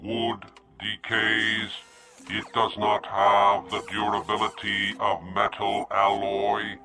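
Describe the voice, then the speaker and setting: A literal, childlike male robot drones in a low, level tone that barely moves, each word laid down slowly and evenly. It speaks without feeling in a radio play with a music score underneath. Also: noisy background. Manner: flat, measured, emotionless delivery. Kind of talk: radio drama acting.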